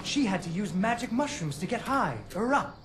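A woman's voice: medium pitch, lively intonation, normal speed, music in the background, close to an everyday voice. A woman voicing a young girl who narrates a game's storybook tale in a playful, sing-song way. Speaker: game narrator.

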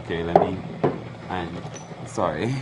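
A man's voice: low voice, unhurried, heavy background noise. A middle-aged man hosting a cooking show, chatting casually with questions and jokey asides. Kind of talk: casual chat while cooking. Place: TV kitchen studio set.

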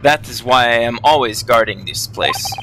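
A man's voice: mid-range voice, moderate pace, close to an everyday voice. Guy doing a big blunt sailor w a fake russian accent, broken english, noisy recording. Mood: gruff. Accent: mock Russian. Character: huge gruff male ship's crewman.

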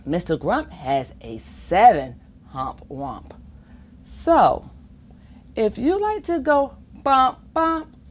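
A middle-aged woman reading a rhyming picture book aloud to children in an expressive, playful way, with asides of her own. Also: fairly high pitch, slow pace. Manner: expressive, chuckling asides, acts out the rhymes. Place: library children's reading corner, indoors.

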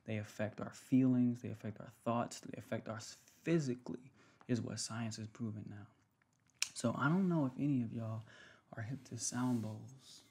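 A man's voice: mid-range voice, normal speed, warm tone, breathy edge. A young man talking casually to viewers in an ASMR style, with a soothing, affectionate, slangy manner. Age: young adult. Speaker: ASMR video creator. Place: small room at home, indoors. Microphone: desk mic close in front.

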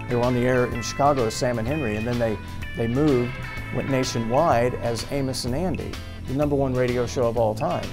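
American guy in his 50s, radio host talking about his life, guitar music behind him. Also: medium pitch. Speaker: old-time radio show host. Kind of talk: interview reminiscence. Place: small radio studio office. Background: plucked guitar music.

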